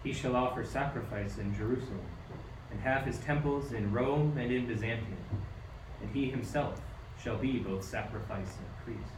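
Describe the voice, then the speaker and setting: A man's voice: mid-range voice, moderate pace, close to an everyday voice. An amateur actor playing a dignified, reserved wise king in a radio play, speaking with formal solemnity. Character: dignified, reserved royal wise man, priestly seer. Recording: roomy, distant, noisy.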